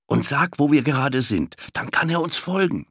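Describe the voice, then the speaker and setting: A man's voice: mid-range voice, lively intonation, normal speed, slightly rough, no room sound, clean studio sound, pitched above the performer's own voice. Man voicing a determined, urgent young boy. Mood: urgent, secretive. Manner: hushed but urgent. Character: brave, determined young boy, urgently whispering his plans. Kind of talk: children's audiobook character voice.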